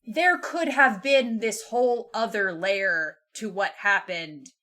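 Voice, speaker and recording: A woman on a podcast panel discussing books casually, thinking aloud in an animated way. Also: mid-range voice, slow.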